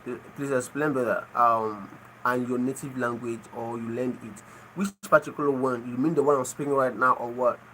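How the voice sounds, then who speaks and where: A man's voice: medium pitch, fairly even intonation, normal speed, bright, forceful tone, a little hiss or hum, rough recording. A Nigerian man whose first language is Igbo, chatting live with viewers and repeating his phrases as he asks them to explain. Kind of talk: casual live chat.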